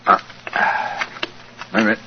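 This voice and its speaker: An actor playing an astute, courteous English detective with a British accent in 1940s radio style. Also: male voice, normal speed, close to an everyday voice. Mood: brisk, deductive. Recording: muffled, noisy 1940s radio recording.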